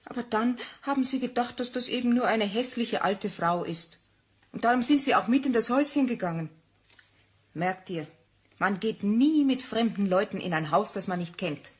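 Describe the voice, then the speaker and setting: An actress playing a mother telling a cautionary tale to her child in a German children's radio play, with husky voice and simple, didactic phrasing. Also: a little high, lively intonation, moderate pace. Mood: storytelling, instructive.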